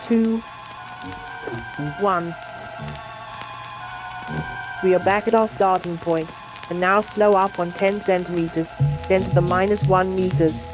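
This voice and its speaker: A woman's synthetic AI voice giving scripted educational science film narration in a measured, explanatory manner. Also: lowish voice, steady tone, rough recording. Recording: music playing under the voice. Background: music.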